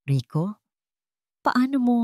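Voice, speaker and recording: A woman's voice: on the high side, big pitch swings, takes it slowly, warm tone, no echo, studio quality, voice pushed up. A woman with a Filipino accent voicing a frightened, pleading young woman in a story. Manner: trembling, pleading.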